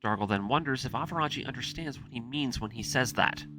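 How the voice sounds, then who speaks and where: A man's voice: mid-range voice, fast talker. A man reading a scripted story recap as the narrator of a video essay. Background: music.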